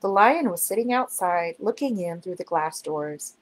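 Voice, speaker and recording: Expressive woman reading a children's storybook. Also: fairly low pitch, moderate pace. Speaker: children's book reader. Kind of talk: storybook narration, read aloud.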